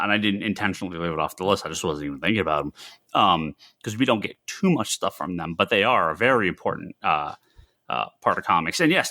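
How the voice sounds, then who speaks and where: American guy podcasting, kinda deep but sharp voice, close dry mic, stumbles over phrases, casual news talk. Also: no room sound. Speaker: podcast co-host. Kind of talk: casual industry news discussion.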